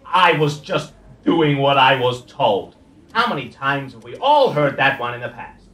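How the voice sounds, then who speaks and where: A man's voice: medium pitch, sing-song, measured pace. A domineering, furious area manager tears into his staff, played by a man. His voice sounds distant in a roomy space. The scene is from a radio play. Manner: shouting, aggressive. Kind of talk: amateur radio play acting.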